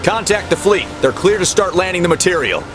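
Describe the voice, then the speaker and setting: An actor playing a bold, decisive military captain in a game cutscene, with a brisk, confident, commanding delivery. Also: male voice, high voice, lively intonation, over music. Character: bold, decisive young male army captain rallying his men. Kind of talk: video game cutscene acting.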